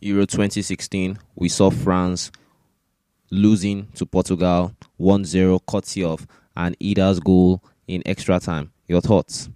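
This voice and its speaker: Low-voiced man debating sport on a podcast. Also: flat delivery, unhurried, warm tone, smooth, dry recording. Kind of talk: sports commentary and discussion.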